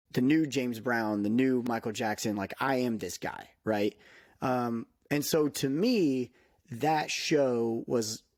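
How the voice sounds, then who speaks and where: A man in his thirties talks through a casual podcast chat in a mid-pitched voice. He is upbeat and loose, and keeps dropping in "um" and "like" as he goes. The recording sounds close and dry, with no room echo. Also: darkish tone. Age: 30s. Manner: chatty and upbeat, full of "um" and "like" fillers. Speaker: podcast co-host.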